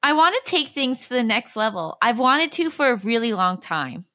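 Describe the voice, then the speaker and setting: Obsessively lovesick female texter, read by a woman: high, bright, dramatic, clingy, dry close sound. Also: very animated, dry recording. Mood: lovesick pleading. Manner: dramatic monologue with drawn-out, list-like delivery.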